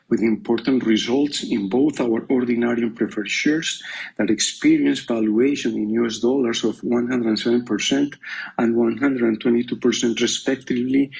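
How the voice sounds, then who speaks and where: A man's voice: mid-range voice, vocal fry, a little mellow, slightly rough, poor audio. A man speaking as a company executive, delivering a prepared corporate presentation in a formal way.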